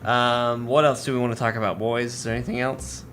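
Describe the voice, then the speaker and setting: A man's voice: mid-range voice, steady tone, fairly quick, crowd noise. A man hosting a podcast in an easygoing, conversational style. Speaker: podcast host. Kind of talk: casual chat.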